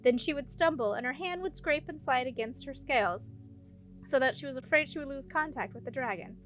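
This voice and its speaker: A woman's voice: sits high, a little fast, a bit bright, soft background music. A woman reading scripted storybook narration as an audiobook reader.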